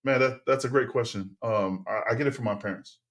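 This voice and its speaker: A middle-aged man whose first tongue is Tongan speaks at an ordinary mid pitch. He talks like a relaxed podcast guest who circles back and restarts sentences. The tone stays easy and conversational. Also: brisk. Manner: relaxed, rambling, many fillers and restarts. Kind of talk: casual interview storytelling.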